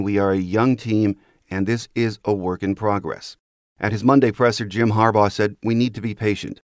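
A man's voice: lowish voice, no echo, studio quality. An American man hosting a sports podcast, delivering a scripted commentary monologue.

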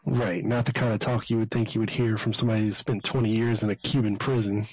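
A man's voice: on the low side, steady tone, fast, husky, poor audio. A man co-hosting a podcast, chatting casually in a colloquial, sardonic way. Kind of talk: casual chat.

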